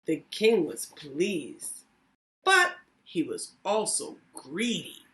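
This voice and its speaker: A woman hosting storytime, reading a children's story aloud with expressive, deliberate phrasing. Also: fairly low pitch. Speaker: storytime host, reading teacher.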